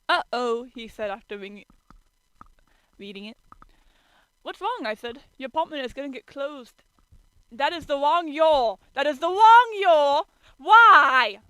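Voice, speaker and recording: Female amateur streamer, bright and high-ish, dramatic story reading with stumbles and exasperated asides. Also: clean tone, low-quality recording. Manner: stumbling over words, theatrically exasperated. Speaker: streamer reading fan fiction aloud.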